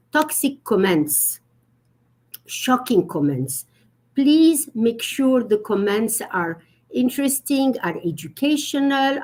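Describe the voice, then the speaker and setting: Woman etiquette guest, higher voice, earnest and explanatory, pauses with fillers. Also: darkish tone. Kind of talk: conversational live-stream talk.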